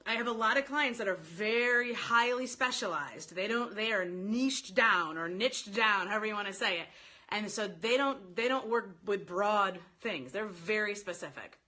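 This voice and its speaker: A woman giving informal sales coaching on a live stream, talking directly to her viewers in an animated, chatty way. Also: medium pitch, moderate pace. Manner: animated, informal, addresses listeners directly, quotes sample phrases. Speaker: sales coach hosting a live online training.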